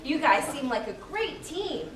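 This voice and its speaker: Young naturalist played by a woman: curious, observant, bright-eyed puppet-show part, animated pitch, distant mic. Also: measured pace, exaggerated voice. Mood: friendly. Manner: wondering, observant. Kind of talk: children's puppet show acting. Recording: roomy distant live recording with clear noise.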